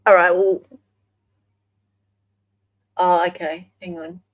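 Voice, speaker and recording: A woman's voice: lowish voice, flat delivery, slow, no room sound, lo-fi sound. An Australian woman hosting a casual livestream in a chatty, affectionate way. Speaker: livestream host.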